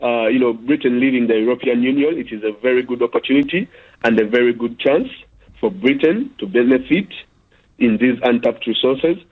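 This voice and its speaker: A man's voice: medium pitch, brisk. A man originally from Uganda calling in to a radio show, making his points earnestly with many fillers. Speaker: caller. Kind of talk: phone-in call. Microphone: telephone. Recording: tinny phone line.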